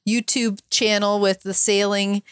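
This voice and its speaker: A middle-aged woman with a calm, soothing, measured way of talking, sharing her personal story as an interview guest. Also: mid-range voice, clean tone, no room sound, clean studio sound. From northern climate region. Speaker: podcast guest.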